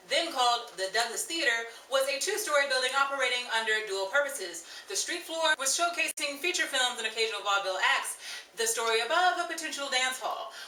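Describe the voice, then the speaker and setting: A woman hosting and narrating an old-time radio style show in a theatrical, patter-filled 1930s manner. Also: high-pitched. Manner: bright, theatrical, rhyming patter. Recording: echoey room from a distant mic, crowd and noise behind.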